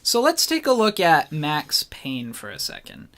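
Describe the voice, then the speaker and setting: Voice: medium pitch, wildly swooping pitch, a little slow, fairly bright tone. A co-host in a casual discussion show, speaking informally and with firm opinions. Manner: informal, opinionated, mildly swearing.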